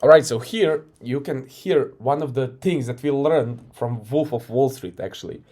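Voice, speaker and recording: A man's voice: mid-range voice, normal speed, warm tone. A man with a Croatian accent, coaching by talking casually through a recorded sales call and often tagging "right?". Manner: loose and conversational, often tags "right?".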